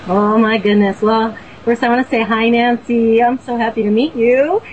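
A woman's voice: on the high side, fairly even intonation, normal speed, slight background noise. Earnest rambling woman on lo-fi audio. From Boston, USA. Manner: warm, earnest, rambling with fillers and restarts. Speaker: guest. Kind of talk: casual storytelling on a talk show.

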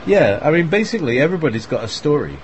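A man's voice: on the high side, very animated, racing. A man hosting an online group chat, talking in a loose, meandering way full of fillers and small laughs. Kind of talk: casual rambling talk. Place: online voice call. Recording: clear background noise.

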